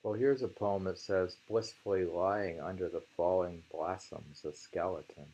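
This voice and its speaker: Man, very deep pitch, unhurried pace, halting and musing, informal poetry reading and discussion. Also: steady tone, dark tone, husky, highs cut off. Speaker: host reading and discussing haiku. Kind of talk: casual discussion with poetry read aloud.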